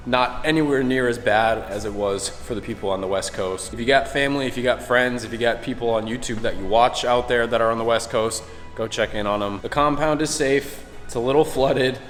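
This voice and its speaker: A young man getting over a cold talks casually to his viewers in a vlog, speaking relaxed and thankful from inside a large metal garage. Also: on the low side. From Florida. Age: young adult. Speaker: vlogger. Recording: long reverb in a metal garage.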